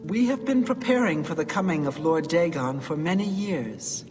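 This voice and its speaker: An actor playing a fervent cultist in a video game, speaking in a zealous, declamatory ritual manner. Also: male voice, fairly high pitch, expressive, moderate pace, a little mellow, close to an everyday voice. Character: fervent male cultist presiding over a blood rite. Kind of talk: video game character acting. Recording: long hall reverb, faint music behind.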